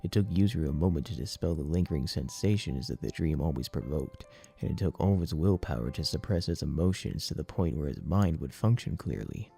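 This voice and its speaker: A man narrating dramatic fiction in a solemn, deliberate storytelling manner. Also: a bass voice, animated, gravelly, mellow. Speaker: audiobook narrator. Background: music.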